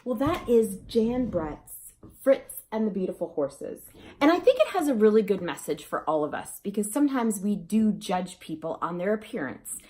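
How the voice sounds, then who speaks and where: A woman reads a children's story aloud. Her pitch moves in an animated, expressive way as she addresses young listeners. The sound is echoey, as from a room. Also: normal speed. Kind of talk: expressive children's picture-book read-aloud. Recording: roomy, echoey indoor sound.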